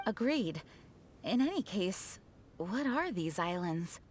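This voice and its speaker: A woman's voice: mid-range voice, very animated, slow, slight background noise. A woman playing an earnest, dutiful young knight commander in a video game, speaking formally and politely. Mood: concerned.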